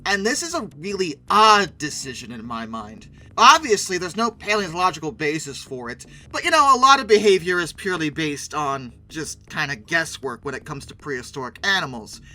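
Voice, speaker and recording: High-pitched, bright, animated male commentator. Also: normal speed, few pauses, rough recording. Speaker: host of a dinosaur video channel. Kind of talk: sarcastic film commentary.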